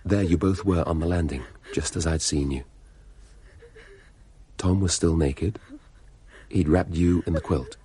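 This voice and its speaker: Very deep, dark-voiced English father. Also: measured pace, long pauses. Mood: brooding, intense. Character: grave, brooding father recounting a haunting. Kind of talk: radio play acting, hushed narration.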